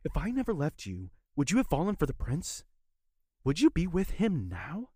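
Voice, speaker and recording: A woman playing an earnest, wounded young man pleading with a former love in an audio drama, husky and intense. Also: low voice, huge pitch swings, moderate pace, dark tone, no room sound, clean studio sound, exaggerated voice. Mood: urgent, warning.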